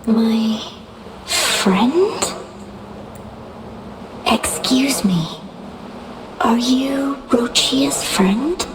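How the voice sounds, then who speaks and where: An actress voicing a sweet, innocent, childlike lost soul in a video game, with a halting, wistful manner. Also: female voice, a little high, expressive, background noise. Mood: tender, wondering. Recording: distant voice under loud music. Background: loud music.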